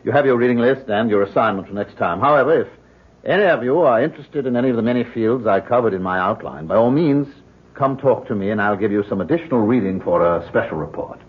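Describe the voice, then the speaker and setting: An actor playing a courteous, reassuring university professor with a measured delivery in a radio drama. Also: male voice, mid-range voice, animated, slightly rough. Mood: lecturing. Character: smooth-talking, reassuring male university professor with secrets. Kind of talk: 1970s radio drama acting. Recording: dull old radio broadcast.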